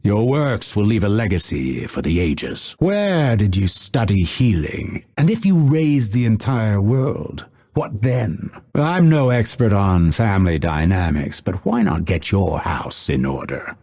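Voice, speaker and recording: A solemn male assassin from a video game, with a low, husky voice. He speaks slowly and deliberately, swinging widely in pitch, with a dry wit and cold menace. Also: sing-song, mellow, heavily acted. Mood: dry, wry. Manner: measured, deliberate, dryly sardonic delivery. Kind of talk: scripted game character voice lines.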